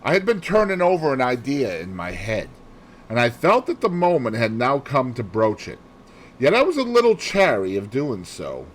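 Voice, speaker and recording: A man's voice: medium pitch, wildly swooping pitch, normal speed, a little hiss or hum. A man reading a novel aloud in a theatrical storytelling style, acting out the dialogue. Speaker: amateur audiobook reader. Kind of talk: dramatic novel read-aloud.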